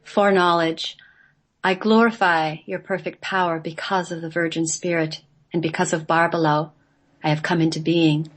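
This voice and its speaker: A woman's voice: on the low side, fairly even intonation, moderate pace. A woman reading a scripted sacred text as part of a radio play.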